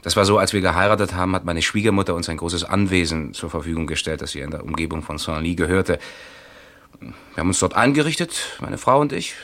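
Radio-play husband under suspicion, very deep male voice, hesitant and evasive;. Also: expressive, quick pace, a bit bright. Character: nervous middle-aged husband questioned by police.

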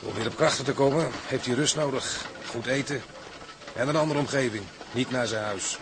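Man acting a steady seaman, noisy ambience. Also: mid-range voice, moderate pace, close to an everyday voice. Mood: firm, calming. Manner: calming, plain-spoken. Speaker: actor in a radio drama.

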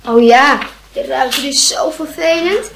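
High-voiced curious young boy. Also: slow, creaky, a bit bright, a little hiss or hum, exaggerated voice. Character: curious, insistent twelve-year-old boy visiting a museum. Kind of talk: radio play dialogue.

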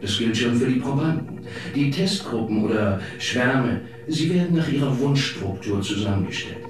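A man's voice: medium pitch, animated, moderate pace, natural voice. Echoing, earnest visionary played by a male actor. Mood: visionary, measured. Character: idealistic visionary male founder presenting his utopian project. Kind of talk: radio drama monologue, speech-like delivery. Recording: very echoey room, music underneath.

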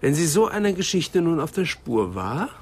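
A man's voice: on the high side, sing-song, normal speed, a little hiss or hum. An actor playing a keen, speculating male police assistant who talks in rhetorical questions in a radio crime drama. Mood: keen, speculative. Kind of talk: German radio drama dialogue.

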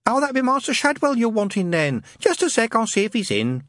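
A man's voice: very high-pitched, sing-song, a little fast, fairly bright tone, no room sound, studio quality, voice pushed up, exaggerated voice. A man voicing a chatty middle-aged woman who answers the phone in a breezy, familiar way.

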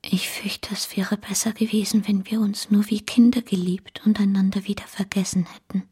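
An actress playing a frail, dying young wife in a radio play, tender and resigned, in a faint, breathy whisper. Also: female voice, medium pitch, little pitch movement. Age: young adult. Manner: faint, slow, gentle. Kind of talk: German radio play acting.

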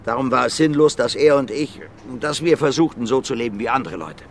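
An actor playing a gruff, blunt violent brawler in his fifties under questioning in a radio drama, plain-spoken and repetitive. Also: medium pitch, a little fast, a little hiss or hum, lightly acted. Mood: brooding, insistent. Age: 50s. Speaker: suspect being questioned.

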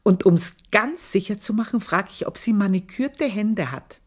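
An actress playing an aged maidservant, proud, bitter and passionate, who tells her story in a slow, intense radio play monologue and sometimes sinks to a hushed whisper. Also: medium pitch, expressive, natural voice. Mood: brooding. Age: older. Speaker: old maidservant telling her life story.

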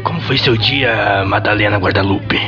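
A man's voice: lowish voice, moderate pace, pushed and bright. An actor playing an easygoing, awkward young man on a date in an amateur radio soap, with a Brazilian accent. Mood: romantic. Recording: dull lo-fi audio, faint music behind.

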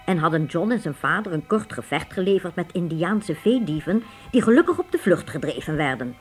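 A woman's voice: on the low side, big pitch swings, brisk, soft background music. A woman reading scripted narration as the storyteller of a radio drama.

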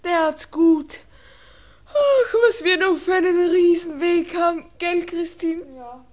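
Cheeky viennese party girl, high voice, pert and drawly, radio play. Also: slow pace. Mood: playful whining. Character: flippant, tipsy, pleasure-loving young Viennese girl. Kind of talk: German-language radio drama acting.

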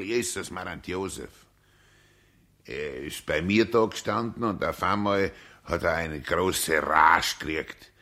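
A man's voice: low voice, pushed and bright, exaggerated voice. An actor playing a simple, indignant Bavarian man who tells his story in a folksy, long-winded, repetitive way for a radio drama. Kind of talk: comic radio drama monologue.

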